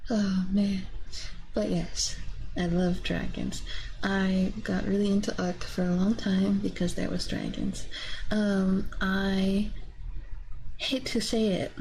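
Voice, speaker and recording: A young adult woman chatting casually on a livestream, rambling and excitable with a giggly manner, close on a binaural microphone. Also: mid-range voice, slow, gravelly, a little hiss or hum, poor audio. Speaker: VTuber livestreamer. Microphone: close binaural ASMR microphone.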